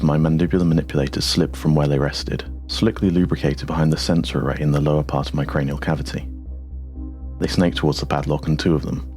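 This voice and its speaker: A man with a deep voice narrates dark science fiction. His reading is measured and deliberate, like a literary audiobook, telling the story in the first person. Music plays clearly underneath him. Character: introspective four-legged machine with a human pilot's mind.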